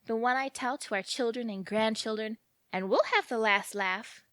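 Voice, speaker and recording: A woman's voice: a little high, normal speed, a bit bright, no room sound, lightly acted. Actress as young small-town romantic woman, dreamy, earnest, talkative, close dry sound, audio drama dialogue. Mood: wistful, probing.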